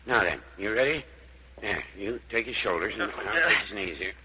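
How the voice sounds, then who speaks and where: An actor playing a gruff, wry, practical country doctor with homespun, colloquial speech in an old-time radio thriller. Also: male voice, on the low side, lively intonation, moderate pace, slight background noise, natural voice. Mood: puzzled, practical. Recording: muffled vintage radio drama recording.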